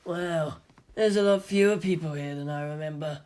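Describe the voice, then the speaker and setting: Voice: mid-range voice, normal speed, darkish tone, clean tone, lightly acted. A man voicing a brooding, resentful teenage schoolboy in an audiobook, with blunt, subdued grumbling. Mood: sullen, bitter. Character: brooding, resentful tough schoolboy, worried older brother.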